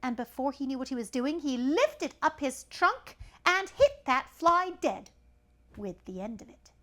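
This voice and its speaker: A woman reading a children's story aloud in an animated style, with chatty asides to a young listener. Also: high voice, measured pace.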